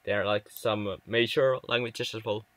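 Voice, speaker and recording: A man's voice: on the low side, fairly even intonation, moderate pace, fairly bright tone, no echo. A young man from Finland with a Finnish accent, chatting casually with viewers on a game livestream, often stumbling and restarting words. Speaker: livestream gamer.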